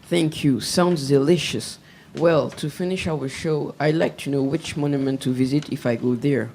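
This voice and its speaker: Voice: medium pitch, fairly even intonation, normal speed, a little mellow, a little hiss or hum. A teenage student hosting a school web radio show, reading carefully from a script. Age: teens. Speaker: host.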